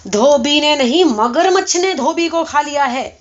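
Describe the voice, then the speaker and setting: A man's voice: very high voice, animated, a bit bright, highs cut off, pitched above the performer's own voice. A woman voicing an exasperated male petitioner in a story, insistently raising the voice and repeating words to be understood. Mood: shouting, exasperated.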